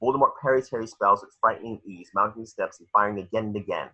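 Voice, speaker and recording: Male narrator for a table read: rough-edged, lower register, reading action lines with occasional stumbles, lo-fi home recording. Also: fast, pushed and bright. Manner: stumbles over words while reading. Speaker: narrator reading stage directions.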